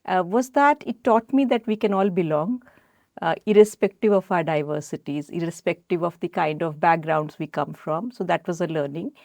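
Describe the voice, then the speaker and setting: A woman's voice: fairly low pitch, a little mellow, no echo. A middle-aged woman from Odisha with an Indian English accent, a college principal speaking thoughtfully as a podcast guest. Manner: thoughtful, articulate, measured. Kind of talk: podcast interview.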